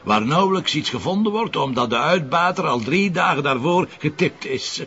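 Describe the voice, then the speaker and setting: A cynical, sardonic police commissioner in a TV drama, with a Flemish accent. He talks informally in a mid-pitched, very lively voice, and the sound is slightly muffled. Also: normal speed. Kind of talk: naturalistic TV drama dialogue. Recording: dull lo-fi TV sound.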